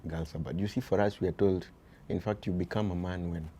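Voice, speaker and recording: A man with a Kenyan accent and a very deep voice, talking earnestly as an interview guest about raising his sons, often dropping "you see" and shifting into Swahili. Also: fairly even intonation, brisk, warm tone, a little hiss or hum. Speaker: guest, father of boys. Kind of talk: reflective conversational interview.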